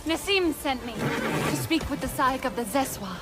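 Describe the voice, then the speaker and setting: A woman's voice: sits high, expressive, normal speed. An actress playing an earnest, curious young female alien warrior in a video game cutscene. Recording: music behind the voice.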